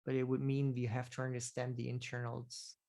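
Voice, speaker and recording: A man hosting a casual technical question-and-answer call, halting, with many fillers and restarts. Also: mid-range voice, completely flat delivery, creaky, warm tone. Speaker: host of community office hours.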